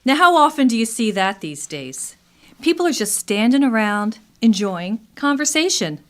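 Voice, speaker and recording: An actress playing an enthusiastic town guide in scripted radio theatre, with a theatrical delivery. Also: female voice, fairly high pitch, very animated, normal speed, a bit bright. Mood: cheerful, curious. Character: enthusiastic woman guide strolling through a river town. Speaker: actor in a community radio play.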